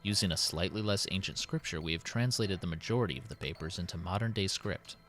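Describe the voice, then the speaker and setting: A man voicing a worried, dutiful city president who dictates his diary in a formal, measured, solemn way. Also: low voice, fairly bright tone. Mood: troubled, resolute. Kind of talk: dramatic in-character diary reading.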